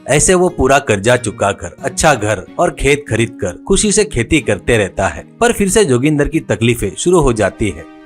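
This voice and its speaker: Male narrator, animated delivery for a scripted moral tale, light music underneath. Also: mid-range voice, normal speed. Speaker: storyteller.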